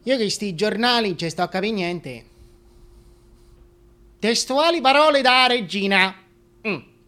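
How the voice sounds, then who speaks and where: A man playing an uneducated, folksy commoner with a Roman accent in a comic monologue. He reads aloud slowly and haltingly, then mutters puzzled asides, his pitch very lively. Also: heavily acted. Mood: puzzled, plodding.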